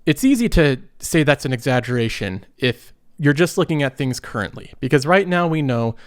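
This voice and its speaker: A thirty-year-old man getting over a cold talks through personal finance in a casual, earnest way, pausing and restarting as he thinks. His mid-pitched voice sounds dry and close, with no room echo. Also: lively intonation, clean tone. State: recovering from a cold. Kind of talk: casual explanatory monologue.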